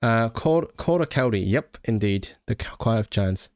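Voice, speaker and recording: A young man from Hong Kong with a mixed British English accent hosts a casual livestream about languages. He talks in a middle pitch and answers viewer questions loosely, with frequent fillers and restarted words. The recording is close and dry, with no room sound. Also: expressive, moderate pace, a little mellow, no echo. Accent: British English mix. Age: young adult. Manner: hesitant, many restarts and fillers, self-deprecating. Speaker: language channel host; language learner and student. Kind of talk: casual livestream chat reading viewer comments.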